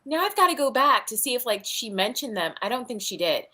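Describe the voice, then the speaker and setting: A woman hosting a casual book chat in an expressive, exasperated, sweary way. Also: a little high, fairly quick. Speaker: host of a book reading livestream. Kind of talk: casual chat about books.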